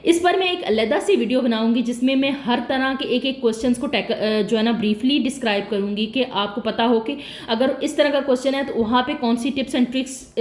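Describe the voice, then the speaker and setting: A woman talks through exam tips in a casual, explanatory way, often posing a question and then answering it herself. Her voice sits a little high. The room around her is echoey. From Pakistan. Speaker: test-prep tutor. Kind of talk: casual spoken tutorial.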